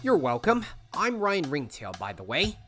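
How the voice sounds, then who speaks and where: A performer playing a polite, steady young male animal adventurer in an audio drama, calm and courteous. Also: medium pitch, moderate pace, soft background music.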